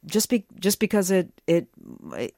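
A man's voice: high voice, sing-song, normal speed, a little mellow, no echo, clean studio sound. A man hosting a conversational radio interview, asking curious questions and reading out listener comments. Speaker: radio show host.

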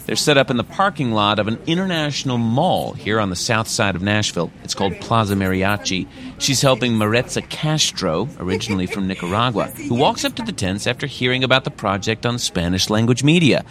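Low-voiced male radio reporter. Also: a crowd in the background. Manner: measured, even news delivery. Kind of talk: scripted news report.

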